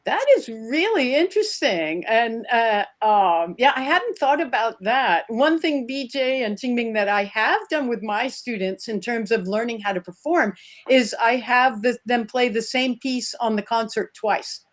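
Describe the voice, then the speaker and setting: A woman speaking thoughtfully as an interviewer, pausing mid-sentence as she shapes her remarks. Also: fairly high pitch, expressive. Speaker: guest interviewer, music teacher. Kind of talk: conversational interview.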